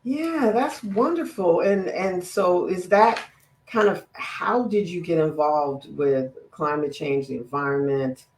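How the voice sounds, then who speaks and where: Slow, gravelly-voiced woman hosting. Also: lowish voice, reverberant, a little hiss or hum. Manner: slow, warm-up questions with many fillers, stumbles over words. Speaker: interviewer, climate equity director. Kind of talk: hosting an online conversation. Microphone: video call.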